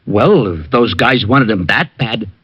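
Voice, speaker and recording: A slow, eager police sergeant who stumbles over his words. His voice rises and falls, and the old radio recording sounds dull. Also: fairly low pitch, lively intonation, slightly rough. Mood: hesitant. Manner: hesitant, full of uhs.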